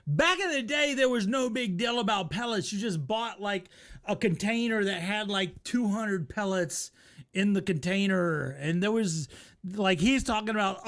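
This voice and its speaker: High-pitched man telling a casual story. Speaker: storyteller and host reacting to a video.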